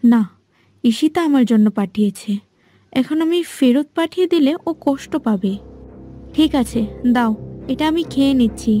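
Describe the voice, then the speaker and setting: High, mellow, innocent young girl voiced by a woman. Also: animated, normal speed, long pauses, soft background music. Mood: trusting, reluctant. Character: puzzled, trusting young girl held as palace guest. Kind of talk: animated fairy-tale cartoon acting.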